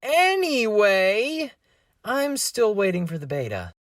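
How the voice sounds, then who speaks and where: A man's voice: high-pitched, wildly swooping pitch, slow pace, a bit bright, full character voice. A man voicing an anxious, whiny thirteen-year-old boy in an amateur fandub, exasperated and hesitant.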